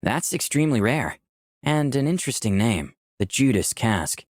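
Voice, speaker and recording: A man's voice: medium pitch, very animated, moderate pace, no echo, clean studio sound, lightly acted. A man voicing a candid, easygoing young protagonist who narrates a visual novel in the first person. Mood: impressed, polite. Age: young adult. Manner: clear scripted storytelling.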